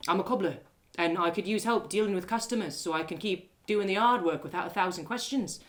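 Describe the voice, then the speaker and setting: A woman's voice: mid-range voice, fairly quick, close to an everyday voice. A woman voicing a glum young male cobbler in a storybook drama, wounded but kind, with a plain-spoken, rueful way of talking. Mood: kindly. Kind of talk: storybook audio drama character.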